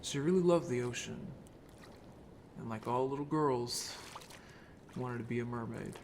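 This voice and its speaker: A man's voice: mid-range voice, unhurried, creaky, a little mellow, slight background noise. A man playing an earnest, sensitive merman in an audio drama, hesitant and emotional in delivery. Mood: shaken, wistful. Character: earnest, sensitive merman haunted by childhood friendship.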